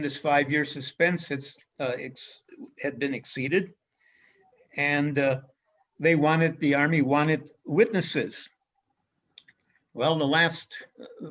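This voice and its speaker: A man giving an illustrated history lecture as a guest speaker, speaking in a measured way with frequent pauses and fillers. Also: fairly high pitch, a bit bright. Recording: dull lo-fi recording.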